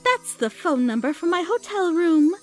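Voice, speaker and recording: An elegant, flirty mare of high fashion in an audio drama. Her voice is extremely high and swoops with lively intonation, poised and theatrical, over faint music. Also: moderate pace. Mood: fond. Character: elegant, refined, flirtatious female pony fashionista. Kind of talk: fan audio drama character acting.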